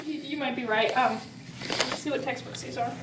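A woman's voice: high voice, fairly even intonation, moderate pace, a bit bright. A young woman chatting jokily in a classroom, picked up by a camera some distance away. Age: young adult. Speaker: teammate giving a mock tour. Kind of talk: casual joking chat. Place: school classroom. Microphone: camera held across the room. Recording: off-mic with faint room noise.

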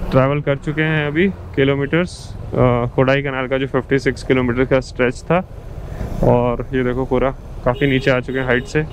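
A man's voice: mid-range voice, a little mellow. A man with an Indian accent gives casual motovlog commentary, switching between Hindi and English. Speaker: motorcycle vlogger. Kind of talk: casual ride vlog commentary. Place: outdoors, riding a motorcycle. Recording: noisy helmet-mic recording, engine and wind. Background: vehicle and road noise.

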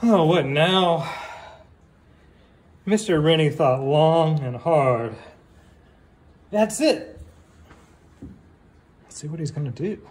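Guy reading a kids book out loud, kinda high voice, really slow, chats to the kids between lines. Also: expressive. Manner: deliberate, questioning asides to young listeners. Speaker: teacher reading a picture book aloud. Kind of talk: storybook read-aloud with casual asides.

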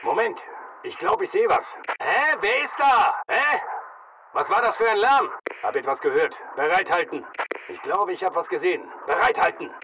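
An actor playing a tough, disciplined mercenary soldier in a video game, giving clipped military commands. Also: male voice, sits high, expressive, moderate pace, far from the mic, background noise. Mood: low, wary. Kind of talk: military battle chatter, short barked commands.

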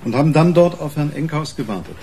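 A man's voice: fairly high pitch, expressive, fast talker, warm tone, a little hiss or hum. A man playing a pressing, impatient police interrogator in a radio crime drama, dry and insistent.

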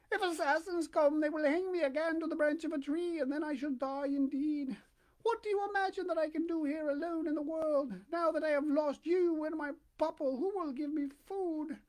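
A woman voicing a childlike wooden puppet boy in a storybook, with a plaintive, excitable, melodramatic manner. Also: high-pitched, a little fast, voice pushed up, natural voice. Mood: grieving, pleading. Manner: plaintive, melodramatic, breathy exclamations.

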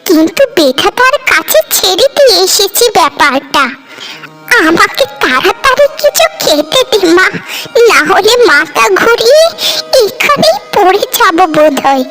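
A woman voicing a grateful old beggar woman in a cartoon, slow and solemn as she blesses. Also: extremely high pitch, big pitch swings, fairly bright tone, heavily acted, low-quality recording. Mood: weak, pleading. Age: older. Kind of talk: cartoon voice acting.

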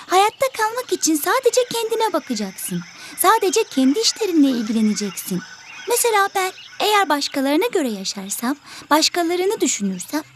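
Selfish cartoon bird, squeaky high voice, brusque and scolding, lively intonation, faint noise behind. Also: female voice. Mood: smug, defensive. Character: selfish grumpy bird guarding its tree. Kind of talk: educational cartoon voice acting.

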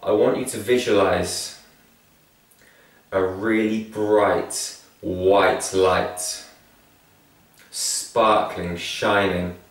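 A man leading a spoken guided meditation in a calm, soothing, measured way with long pauses between phrases. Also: low voice. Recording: very echoey room, distant from mic.

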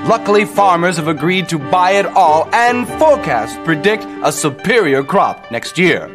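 A pompous medieval news anchor delivers a parody video game bulletin. His voice is high and theatrical, with lively intonation over music. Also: moderate pace. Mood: arch, deadpan. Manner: theatrical, deadpan newsreader delivery.

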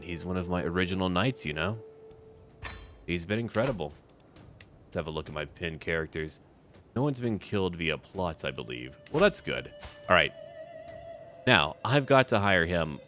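Deep-voiced man commentating a strategy game. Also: a bit bright. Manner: talks to himself and to game characters in short clipped remarks. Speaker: gaming video creator narrating his own playthrough. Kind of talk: casual strategy game commentary, partly in character as ruler.

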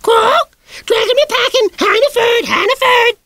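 A screeching frantic parrot. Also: male voice, very high voice, measured pace, creaky, bright, forceful tone, no echo, voice pushed up, full character voice. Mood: frantic shrieking. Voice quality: screeching, squawking. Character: shrieking male parrot, frantic and raucous.

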